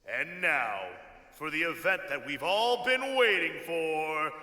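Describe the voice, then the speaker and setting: A showy game-jam announcer. His bright, high voice swoops theatrically and rings with long hall echo. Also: lively intonation, takes it slowly, exaggerated voice. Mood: excited. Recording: reverberant event-hall announcement.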